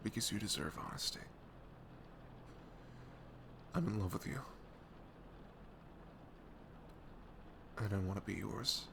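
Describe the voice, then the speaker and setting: A man playing a tender, nervous best friend in an intimate ASMR roleplay, speaking softly and hesitantly in a low, gravelly voice close to the mic. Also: fast talker, noisy background. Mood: nervous, earnest. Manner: hesitant, stammering, soft-spoken.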